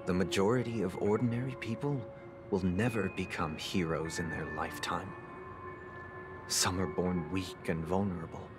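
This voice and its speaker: Deep-voiced, solemn young man played by an actor. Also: close to an everyday voice. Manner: measured, philosophical, persuasive. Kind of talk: dramatic video game voice acting.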